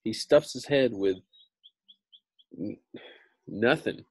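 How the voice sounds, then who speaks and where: A man in his thirties reads a storybook aloud in a fairly low voice. He is casual and explanatory, repeating himself as he goes. The recording is dry and close. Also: lively intonation, slow, husky, no room sound. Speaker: reader of a children's book. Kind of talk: read-aloud storytelling with informal explanatory asides.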